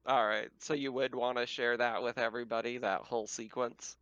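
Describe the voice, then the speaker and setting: Smooth-voiced man running a game. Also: medium pitch, steady tone, a little fast, fairly bright tone, dry recording, lo-fi sound. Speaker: game master. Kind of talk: casual tabletop game narration.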